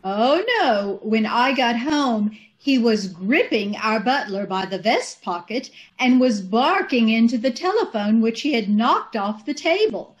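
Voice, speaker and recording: A woman puts on a haughty society matron for an old-time radio comedy. Her voice is a little high and very lively in pitch. She is prim and measured, coolly condescending. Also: rough recording. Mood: smug. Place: indoors on a live Zoom call.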